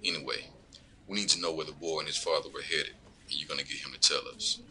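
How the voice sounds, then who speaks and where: A man's voice: rumbling low, steady tone, fast talker, bright, forceful tone, slight background noise, heavily acted, rough recording. A man playing a smug, corrupt lawman in an audio drama, taunting and profane as he coolly threatens his informant. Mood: cold, taunting. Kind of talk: scripted audio drama dialogue.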